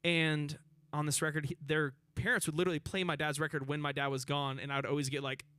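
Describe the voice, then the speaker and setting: A man's voice: on the high side, little pitch movement, quick pace, bright, forceful tone, clean tone, dry recording. A man from Nashville, a singer-songwriter guest chatting loosely between songs in a radio station studio, laughing and using lots of fillers. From Nashville, Tennessee. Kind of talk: casual chat between songs. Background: acoustic guitar.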